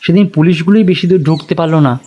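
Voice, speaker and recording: A man playing an anxious, talkative local gentleman who explains things conversationally in an audio drama. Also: a little high, fast, lo-fi sound, slight background noise, close to an everyday voice. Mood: explaining.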